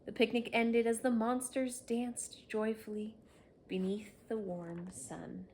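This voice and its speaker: A woman's voice: on the high side, little pitch movement, takes it slowly, darkish tone, a little hiss or hum. A woman reading a children's story aloud, narrating expressively and without hurry. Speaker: storybook reader.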